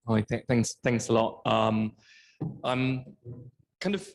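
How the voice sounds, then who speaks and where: A man's voice: mid-range voice, animated, slow. A man asking a casual, unscripted question at an academic talk, tentative and full of verbal hedging. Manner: tentative, heavily hedged with "kind of" and "like". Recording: echoey room with faint noise.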